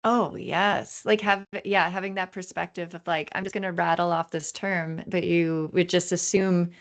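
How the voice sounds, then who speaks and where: A woman's voice: lowish voice, fairly even intonation, normal speed, gravelly. A woman co-hosting a casual podcast, chiming in with short responses and laughing along with her guest. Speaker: podcast co-host.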